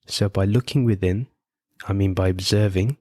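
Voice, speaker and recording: Man, guided meditation host, lowish even voice, gentle unhurried phrasing, very dry close sound. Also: no echo. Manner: gentle, unhurried instruction with frequent pauses. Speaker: podcast host guiding meditation.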